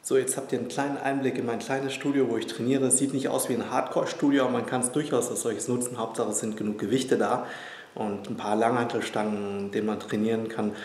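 A man's voice: medium pitch, quick pace. A man in his thirties, a personal trainer, casually touring his small gym and talking to viewers as friends. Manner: relaxed, chatty, addressing viewers as friends. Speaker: personal trainer and fitness vlogger. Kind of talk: casual vlog tour and update. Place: small private gym, indoors. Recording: echoey room with faint background hum.

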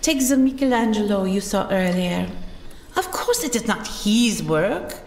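A woman's voice: medium pitch, lively intonation, a little slow, darkish tone, slight background noise. A woman playing a haughty art forger. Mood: proud. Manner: proud, formal, indignant. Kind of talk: audio drama acting. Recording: roomy reverb with music behind.

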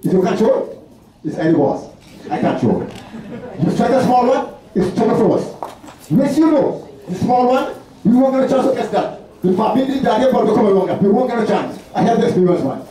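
A man's voice: very high-pitched, animated, brisk, mellow. A Vincentian man playing a wary, superstitious veteran whaler in a live stage dramatization, with an insistent, repetitive way of talking. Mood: agitated, insistent. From St. Vincent and the Grenadines. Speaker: performer in a folk whaling troupe. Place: festival tent stage, far camera. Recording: distant, very echoey, muffled festival tent recording.

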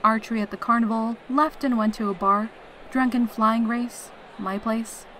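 A woman's voice: fairly high pitch, fairly even intonation, moderate pace, a bit bright, a little hiss or hum, lightly acted. A woman voicing a carefree, teasing female pegasus soldier in a fan audio drama. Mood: casual, blunt. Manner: breezy, teasing, cheerful.

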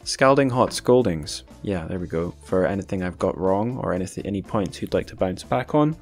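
A man's voice: deep voice, lively intonation, normal speed, a little mellow, faint music behind. A man hosting a podcast in an informal, rambling, self-deprecating way. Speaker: podcast host. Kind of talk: casual podcast chat.

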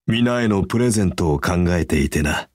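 A man voicing a calm, earnest, kindly masked hero in a mobile game, speaking in a measured, reserved, formal way. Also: low voice, slightly rough, no echo, clean studio sound. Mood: warm, thoughtful. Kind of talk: anime game character voice acting.

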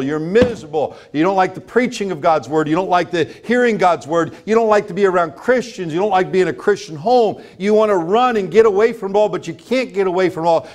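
A middle-aged American pastor delivers a sermon in a high-pitched voice. He is emphatic, leaning on repetition and rhetorical questions to drive each point home. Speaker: pastor preaching. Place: church sanctuary, at a pulpit before a congregation.

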